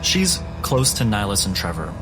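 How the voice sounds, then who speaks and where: A man running a tabletop game as game master, narrating scenes in a measured, descriptive way and calling for rolls. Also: on the low side, very animated, rough recording. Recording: loud music score underneath.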